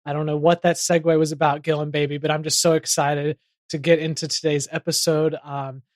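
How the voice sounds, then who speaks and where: Guy doing a rambly excited small town mayor on a comedy podcast, slightly high voice, dry mic. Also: fairly quick, no room sound, lightly acted. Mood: excited, rambling. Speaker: podcast host. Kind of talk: comedy audio drama podcast hosting.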